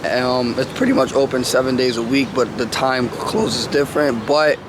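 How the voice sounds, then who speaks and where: A man, hoarse from losing his voice, casually vlogging his walk around a city zoo in laid-back slang. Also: medium pitch, steady tone, normal speed, background noise. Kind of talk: casual vlog narration.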